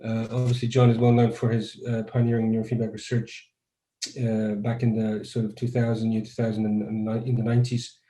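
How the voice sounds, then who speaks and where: A man's voice: on the low side, completely flat delivery, a little fast, dark tone, echoey room, poor audio. A man from Croatia, a guest neuroscientist, answers interview questions over a video call in a thoughtful, conversational way and often restarts his sentences.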